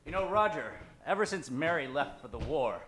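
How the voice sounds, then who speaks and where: A man plays a hapless househusband in a vintage radio ad spoof, folksy and exclamatory. His bright, lively voice sits in an echoing room. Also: on the high side, fairly quick, slight background noise. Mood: puzzled, then eager. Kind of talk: 1940s radio ad parody acting. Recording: roomy, echoing stage sound.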